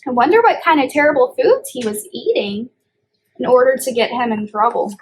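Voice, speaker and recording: A woman's voice: high-pitched, very animated, a little fast, low-quality recording. A woman leading a children's story time as a librarian, explaining things simply and stressing key words. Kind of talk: read-aloud storytime for young children.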